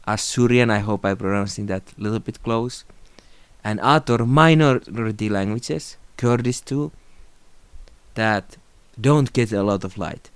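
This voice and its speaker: A young Finnish man speaks calmly and reflectively into a close phone or webcam in a small room. His voice is smooth and clear, at a middle pitch. The sound is dry, with no room echo. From Finland. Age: young adult. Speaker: video creator. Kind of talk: unscripted tribute talk to camera.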